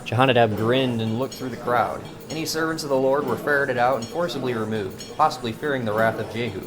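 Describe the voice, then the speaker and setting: A man's voice: mid-range voice, brisk, crowd noise, low-quality recording. A man narrating a Bible story drama in an earnest, preachy storytelling style. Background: guitar music.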